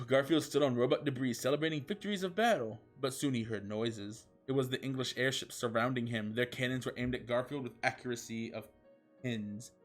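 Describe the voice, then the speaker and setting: A man's voice: mid-range voice, fairly quick, faint music behind. A man narrating comic fan fiction in a mock-dramatic way. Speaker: storyteller, show host.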